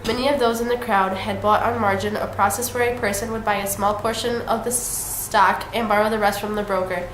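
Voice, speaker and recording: A woman's voice: mid-range voice, fairly even intonation, brisk, fairly bright tone. A woman reading a scripted mock 1930s newscast as the news anchor. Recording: echoey room, faint noise.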